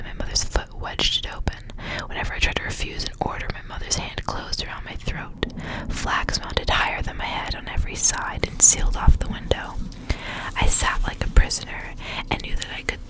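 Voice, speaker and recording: A woman speaking in a soft, breathy whisper, hushed like an ASMR reader sharing a story. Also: low-quality recording. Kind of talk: whispered ASMR story reading with casual asides.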